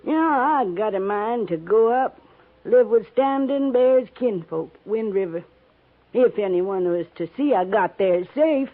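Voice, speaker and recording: A woman's voice: medium pitch, animated, a little slow, narrow, tinny audio. An actress playing a wily, sweet-talking old American frontier woman with a cracked voice and a folksy drawl, in a 1950s radio drama. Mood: proud, folksy. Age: older. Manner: folksy rustic drawl, sly.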